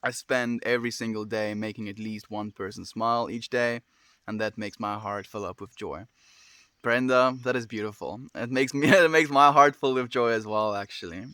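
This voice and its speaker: A young man with a South African accent, hosting a casual livestream, answering chat in a gentle, affectionate way and often calling listeners "my friend". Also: on the low side, normal speed, no echo, studio quality. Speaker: livestream host reading chat.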